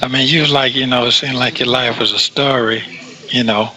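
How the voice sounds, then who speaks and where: A man with a bright, forceful voice at an ordinary male pitch chats privately on a call. He repeats phrases for emphasis and often stammers as he starts a thought. The call audio sounds a little tinny. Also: steady tone, normal speed. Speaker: caller on a prison call. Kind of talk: casual private phone chat.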